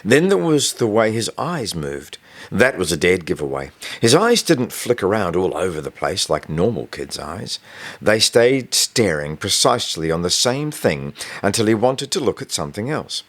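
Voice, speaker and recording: Male audiobook narrator, very low register, animated delivery, first-person storytelling for a young readers' novel. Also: normal speed. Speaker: author reading own novel excerpt.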